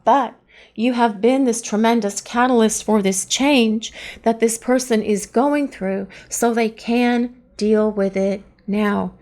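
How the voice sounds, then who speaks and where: A woman giving a chatty, earnest tarot love reading, her voice hoarse and partly lost to allergies. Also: a little high, fairly even intonation, takes it slowly, a little mellow. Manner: earnest, chatty, pleading questions to the cards. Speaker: tarot reader.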